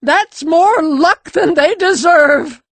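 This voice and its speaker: A woman's voice: extremely high pitch, slow, no room sound, exaggerated voice. A woman playing a cranky, furious old woman who screeches her lines in an audiobook drama.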